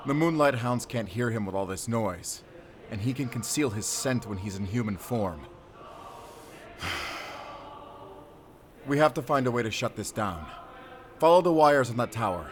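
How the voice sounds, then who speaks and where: A man acts out a grim werewolf hunter in a cinematic audio drama. He gives terse, commanding orders in a mid-range voice, with clear noise behind him. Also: medium pitch, animated, moderate pace, long pauses, natural voice. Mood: urgent, wary. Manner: terse, tense, giving orders.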